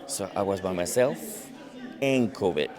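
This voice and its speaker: A man's voice: deep voice, expressive, a little slow. A man whose first language is Spanish, telling his story in a casual interview at a conference and acting out the voices of people he quotes. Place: busy conference hall. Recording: conference crowd chatter behind.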